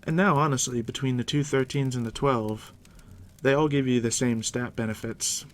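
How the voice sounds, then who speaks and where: A man doing a soft, deep-whispered ASMR roleplay as a game master, unhurried and reassuring, speaking directly to the listener up close. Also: fairly even intonation. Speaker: game master guiding a new player.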